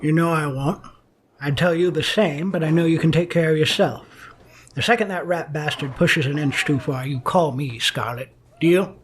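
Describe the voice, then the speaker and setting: An actor playing a gloomy, hard-drinking 1950s police detective, narrating a noir radio drama with wry self-mockery. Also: male voice, fairly high pitch, moderate pace, distant mic, slight background noise, natural voice. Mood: protective. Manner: brooding, wry.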